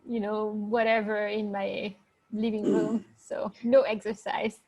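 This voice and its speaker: A woman's voice: mid-range voice, little pitch movement, unhurried, darkish tone, rough recording. A woman with a French accent, chatting casually in an interview, hesitant and full of fillers, laughing easily. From France. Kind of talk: casual video-call interview.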